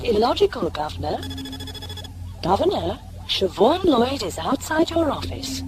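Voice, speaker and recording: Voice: medium pitch, unhurried, music in the background. Coldly logical ship's computer. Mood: impassive. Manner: flat, formal, literal. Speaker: actor in an audio drama. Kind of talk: scripted audio drama acting.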